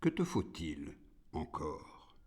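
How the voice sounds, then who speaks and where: Man as a low, hushed, almighty God. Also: takes it slowly, slightly rough, exaggerated voice. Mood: patient, grave. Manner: terse, calm, hushed consent and questions. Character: God, calm all-powerful male supreme being.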